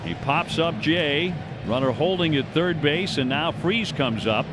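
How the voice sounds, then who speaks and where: A man's voice: fairly high pitch, measured pace, music in the background. A man calling live baseball play-by-play on the radio in descriptive, clipped phrases that follow the action. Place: ballpark broadcast position. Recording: noisy outdoor stadium ambience. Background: steady outdoor stadium noise.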